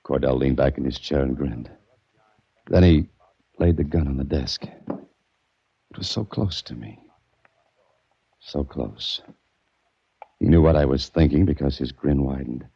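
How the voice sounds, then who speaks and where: Deep-voiced hard-boiled radio hero. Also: male voice, a little slow, gravelly, long pauses, warm tone, slightly rough, exaggerated voice. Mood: tense narrating. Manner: terse, hard-boiled, urgent in dialogue. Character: tough wry hero, a framed man on the run. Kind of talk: 1940s radio mystery acting and first-person narration. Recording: dull vintage 1940s radio recording.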